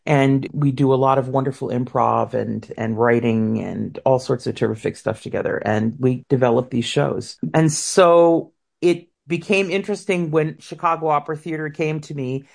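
Low-voiced woman, 50s, gravelly fry, opera singer talking thru her career in an interview, repeats words a lot. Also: moderate pace, hardly any pauses. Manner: warm, reflective storytelling with repeated words. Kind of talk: interview answers.

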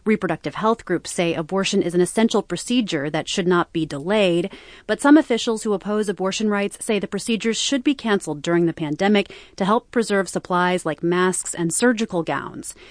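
A woman's voice: mid-range voice, fairly quick, no echo, clean studio sound. An American woman reading a scripted news report as a radio reporter.

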